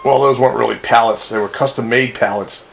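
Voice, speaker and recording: A man's voice: medium pitch, steady tone, brisk. A man in casual, laid-back conversation as a radio operator, heard over a noisy radio transmission. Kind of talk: casual chat. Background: clear radio noise.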